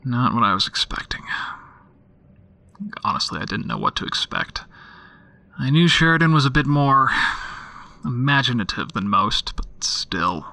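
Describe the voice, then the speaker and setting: A man's voice: medium pitch, expressive, moderate pace, vocal fry, pauses often, bright, forceful tone. An actor playing a skeptical, weary male police detective who muses dryly aloud in an audio drama. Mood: skeptical musing. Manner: dry, grumbling, musing aloud. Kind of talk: audio drama, naturalistic monologue into recorder.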